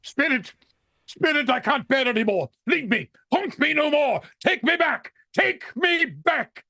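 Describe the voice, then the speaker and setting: An actor playing a frightened, repentant old miser in a vintage-style radio drama, pleading and stammering in a quavering voice. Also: male voice, extremely high pitch, lively intonation, a little slow, pushed and bright, no room sound, clean studio sound, exaggerated voice. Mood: anguished.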